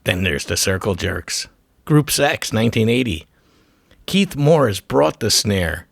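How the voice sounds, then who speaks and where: A man's voice: on the low side, big pitch swings, unhurried, vocal fry, no echo. Man narrating in punchy phrases, dry close sound. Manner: punchy clipped phrases, irreverent and profane. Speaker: documentary narrator and host. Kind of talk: scripted music documentary narration.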